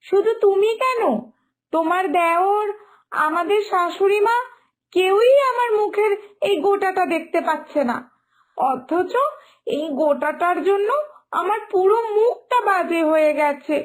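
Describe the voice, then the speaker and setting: Woman playing a whiny, very high-pitched young wife. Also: a little slow. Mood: sulky, whining. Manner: complaining, pouting, dramatic. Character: whiny, sulky young sister-in-law fretting over her looks. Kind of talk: Bengali animated moral story dialogue. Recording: music behind the voice.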